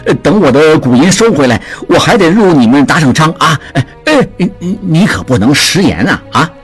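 A man voicing an excitable, easily rattled merchant in an audiobook, talking in fast, stammering exclamations. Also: a little high, breathy edge, faint music behind. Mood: flustered. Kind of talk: audiobook character voice.

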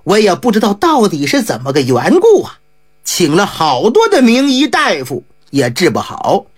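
A woman's voice: medium pitch, huge pitch swings, moderate pace, breathy edge, voice pushed down. A woman voicing a polite, anxious wealthy old man in his fifties in a storytelling performance, speaking humbly and courteously. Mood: humble pleading. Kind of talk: traditional storytelling.

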